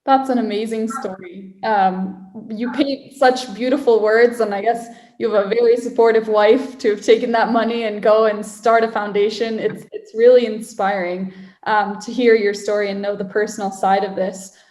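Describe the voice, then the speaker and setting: A woman hosting an interview podcast, appreciative and using some fillers. Her voice sits at a middle pitch. She is recorded in a roomy, echoing space. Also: flat delivery, moderate pace, darkish tone. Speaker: podcast host. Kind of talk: interview questions.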